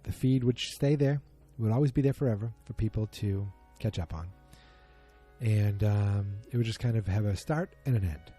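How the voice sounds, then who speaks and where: Low, husky-voiced male podcast host. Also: moderate pace, mellow, soft background music. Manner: rambling, self-deprecating, joking. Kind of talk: casual solo podcast chat.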